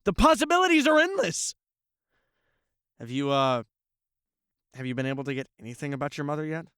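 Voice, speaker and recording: Playful reporter, animated, smooth voice. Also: male voice, a little high, brisk, no echo, studio quality. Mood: playful, supportive. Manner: teasing, breezy, jokey. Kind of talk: audio drama acting.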